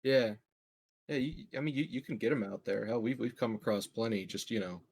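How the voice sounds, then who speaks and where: A man joking casually as a player in a tabletop roleplaying game, dropping deadpan quips. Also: mid-range voice, brisk, creaky. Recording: faint background noise and clicks.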